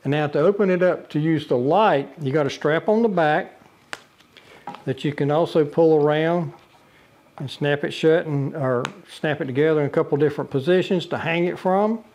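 A man's voice: on the high side, moderate pace, darkish tone, a little hiss or hum. An older man casually demonstrating a camping lantern in his living room, talking plainly with frequent uhs and restarts. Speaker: product reviewer. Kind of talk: casual product demo. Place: living room with a brick wall, indoors.

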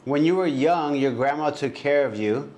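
A man's voice: on the high side, moderate pace, a little mellow. A man conducting a casual interview, asking short questions and echoing answers back.

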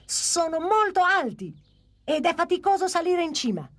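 A woman's voice: very high voice, big pitch swings, normal speed, full character voice. A woman voicing a timid, cheeky young dinosaur boy in a dubbed cartoon, whiny and eager. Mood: childlike.

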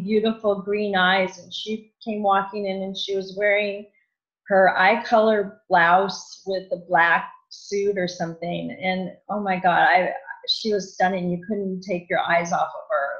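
A woman's voice: level tone, measured pace, fairly bright tone. A woman guest giving casual style advice in conversation, explaining things in a matter-of-fact way. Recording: dull, muffled low-fidelity audio.

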